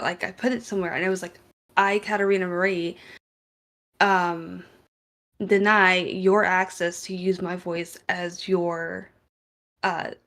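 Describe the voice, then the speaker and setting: Slow-paced woman giving casual opinions. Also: mid-range voice. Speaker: panelist, voice actor.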